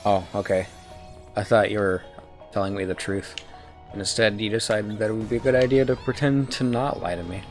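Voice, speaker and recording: A young man streaming a video game, talking to his chat in a soft whisper with mouth noises, his throat sore from whispering. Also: lowish voice, brisk, faint music behind. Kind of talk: casual gaming commentary, trying ASMR-style whispering.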